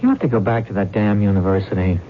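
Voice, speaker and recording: A man's voice: lowish voice, fast, lightly acted. An actor playing an easygoing, affectionate small-town man in a casual, folksy old-time radio drama style. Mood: affectionate, teasing. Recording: muffled, slightly noisy radio recording.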